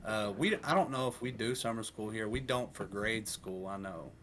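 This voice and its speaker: A man in his twenties, a teacher and coach, chatting casually on a podcast and working through numbers out loud. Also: lowish voice, normal speed, background noise. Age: 20s. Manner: relaxed, rambling, works through numbers aloud.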